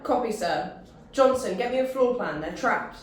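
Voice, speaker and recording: A woman's voice: a little high, animated, moderate pace, heavy reverb, natural voice. A woman voicing an exasperated, brusque male army sergeant.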